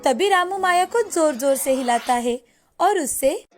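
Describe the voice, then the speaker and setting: A woman's voice: high voice, animated, a little slow. A woman narrating a scripted moral story as a storyteller. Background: music.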